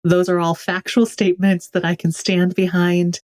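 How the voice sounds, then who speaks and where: A woman's voice: on the low side, flat delivery, moderate pace, darkish tone. A woman from California speaking as an author guest in an interview, thoughtful and candid. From California, USA. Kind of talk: reflective interview talk.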